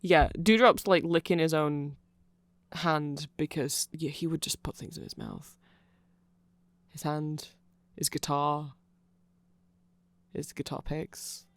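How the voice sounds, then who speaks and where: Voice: mid-range voice, big pitch swings, slow, pauses often. A speaker giving an off-the-cuff, nerdy ASMR explanation, rambling along with asides and self-corrections, close to the microphone. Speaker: ASMR audio creator. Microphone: close microphone in a dry space.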